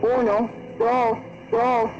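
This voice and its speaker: Very slow woman on lo-fi radio. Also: on the high side, flat delivery. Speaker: numbers station announcer. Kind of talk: slow read-out of numbers and code words. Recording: dull lo-fi radio recording, loud music.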